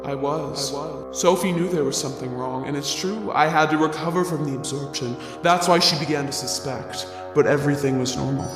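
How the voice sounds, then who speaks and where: Echo-drenched, menacing immortal monster. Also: male voice, a little high. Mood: eerie, brooding. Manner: slow menacing repetition. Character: immortal shapeshifting monster that absorbs victims, menacing and taunting. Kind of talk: audio drama acting. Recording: long echoing reverb, music underneath.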